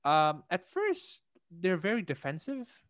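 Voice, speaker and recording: A man's voice: sits high, wildly swooping pitch, slow pace, a bit bright, dry recording, studio quality. A young man from Indonesia chatting casually, thinking aloud with many fillers, restarts and light laughs. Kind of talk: casual conversation.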